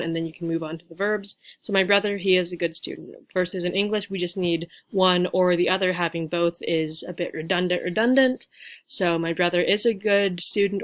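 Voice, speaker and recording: A young woman teaching a grammar lesson over slides, chatty and loose, with many fillers and playful asides, recorded on a webcam at home. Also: fairly low pitch. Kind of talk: casual slide lecture.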